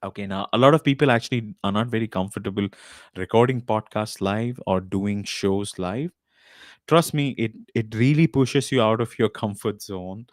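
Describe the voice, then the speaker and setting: Low-voiced man hosting a live stream. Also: moderate pace, dry recording, studio quality. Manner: loose and rambling, with frequent "uh" and restarts. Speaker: podcast host. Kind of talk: casual live-stream talk. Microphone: desk mic with podcast recorder.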